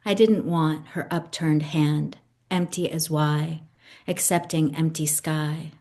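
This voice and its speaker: A woman's voice: low-pitched, steady tone, slow, mellow. A woman in her fifties whose first language is Italian, a guest poet reading and discussing her own poems. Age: 50s. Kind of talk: poetry reading and conversation about own poems.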